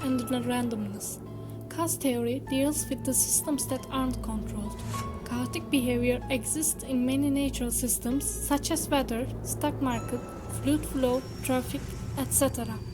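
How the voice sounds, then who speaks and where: A young woman speaks English in a high, light voice. Her delivery is shy and careful. Faint music plays behind her. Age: young adult. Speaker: channel creator and student. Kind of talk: educational explainer and chat to viewers.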